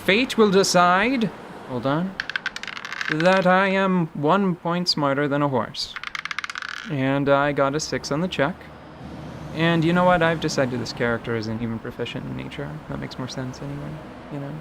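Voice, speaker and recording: A woman's voice: sits low, moderate pace, background noise. A woman chatting casually as a tabletop game player, in a conversational, bantering style.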